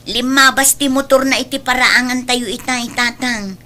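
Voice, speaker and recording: Bright, high-voiced long-suffering younger sister played by a woman. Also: steady tone, normal speed. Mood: downcast. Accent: Ilocano. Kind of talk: Ilocano audio drama acting. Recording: clearly noisy background.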